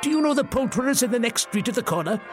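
Scrooge, a giddy high-pitched old miser. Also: male voice, animated, fast talker, music in the background. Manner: giddy, breathless, stammering. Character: elderly miserly man turned giddy and jovial.

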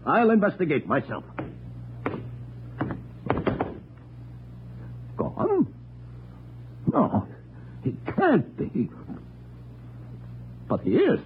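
A man's voice: sits high, huge pitch swings, slow pace, long pauses, exaggerated voice. An actor playing a cold, calculating male usurper in an old radio drama, speaking with precise menace. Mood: frantic. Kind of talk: 1940s radio acting. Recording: tinny old radio broadcast with hiss.

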